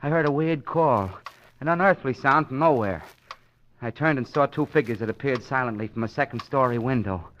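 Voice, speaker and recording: A man plays a frightened, bitter little clerk in a vintage radio thriller. His mid-pitched voice is strained and bright, agitated and halting. Faint noise and clicks sit on the old recording. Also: dull audio, close to an everyday voice. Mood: terrified. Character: frightened, nervous male city employee, bitter and fatalistic. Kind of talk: old-time radio crime drama acting.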